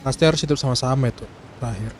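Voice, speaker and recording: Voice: mid-range voice, rapid-fire, background noise. A game streamer with an Indonesian accent making casual commentary while playing.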